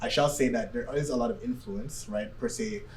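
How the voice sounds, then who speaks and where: A man's voice: medium pitch, very animated, normal speed, darkish tone. A man in his twenties giving his opinion as a talk show guest, unscripted, hedging and often checking in with a tag question. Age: 20s. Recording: distant mic, faint background noise.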